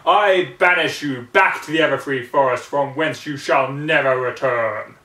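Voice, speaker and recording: A man's voice: medium pitch, moderate pace, bright, forceful tone, lightly acted. A man voicing a grandiose, boastful female unicorn magician in a storybook reading, with pompous declamation. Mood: commanding. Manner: pompous, declamatory, speaks of herself in third person. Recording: roomy, distant mic.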